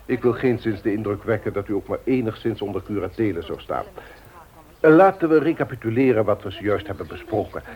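An actor playing a haughty, formal company director in a radio play, condescending and used to authority. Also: male voice, fairly low pitch, animated, brisk, natural voice. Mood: patronising. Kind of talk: Dutch radio play acting. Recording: muffled vintage radio drama recording.

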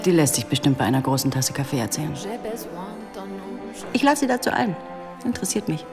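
A woman's voice: deep voice, sing-song, brisk, music in the background. An actress playing a shrewd, flirtatious policewoman in a German radio play, dry and self-assured. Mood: teasing.

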